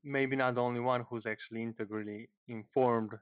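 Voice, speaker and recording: A man's voice: mid-range voice, fairly even intonation, fairly quick, no room sound. A thirty-year-old man with a Slovenian accent introduces himself informally on a group call, hesitantly, often repeating words. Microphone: internet or phone call, headset. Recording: muffled narrow phone-like call audio.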